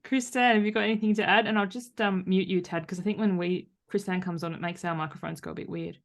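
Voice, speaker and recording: A woman's voice: medium pitch, steady tone, fast talker, creaky. A woman hosting a podcast, chatting casually and informally. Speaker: podcast host. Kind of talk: casual chat.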